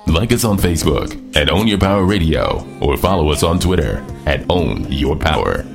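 A man's voice: rumbling low, sing-song, moderate pace, gravelly. A man delivering the station tagline as a radio announcer. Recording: music bed, distant voice.

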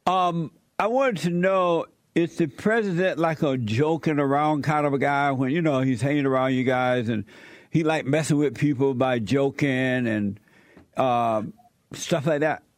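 A man hosting an interview, asking measured questions and giving brief affirmations. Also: medium pitch, no echo.

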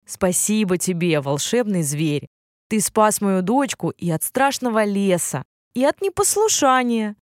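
A woman's voice: mid-range voice, very animated, unhurried, no room sound, studio quality. A woman voicing a grateful old king, a doting father, speaking solemnly and earnestly in a storybook tale.

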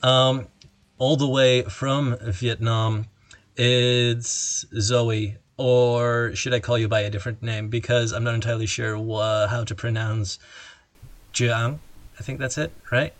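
A man's voice: medium pitch, little pitch movement, slow, no echo. A man hosting a casual interview, asking playful, joking questions. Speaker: podcast host.

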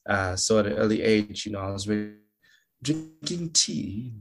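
A man's voice: on the low side, lively intonation, moderate pace, faint music behind. A young man from Malawi, an actor, chatting as a guest in a casual interview, joking, laughing often and restarting his sentences.

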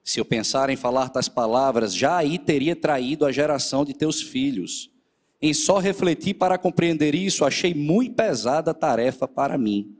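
A man, a presbyter leading a church service, a little nasal and hoarse from a cold, speaking earnestly to the congregation. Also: fairly high pitch, lively intonation, normal speed, in a large hall. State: still a bit hoarse after the flu. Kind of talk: sermon with scripture reading.

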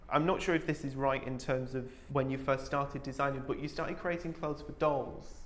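A male interviewer with a mid-range voice who asks his questions in a measured, polite way. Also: mid-range voice, fairly even intonation.

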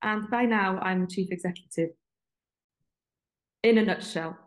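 Brisk woman in her thirties. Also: mid-range voice. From North Wales. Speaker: guest, centre chief executive. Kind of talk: podcast conversation.

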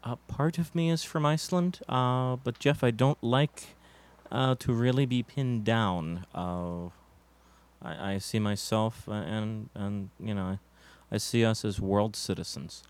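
Stammering, evasive man with a low voice. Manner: halting, stammering, earnest and evasive; hesitant and full of fillers. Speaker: interviewed musician in a comedy sketch. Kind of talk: mock interview, deadpan.

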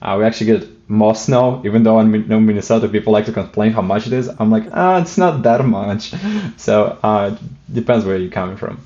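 A man with a Russian accent talks in a low, dark voice that barely rises or falls. He speaks hesitantly, with many fillers and restarts, and now and then slips in a light joke. The sound is muffled and lo-fi. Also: a little fast. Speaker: guest. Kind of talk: casual interview talk.

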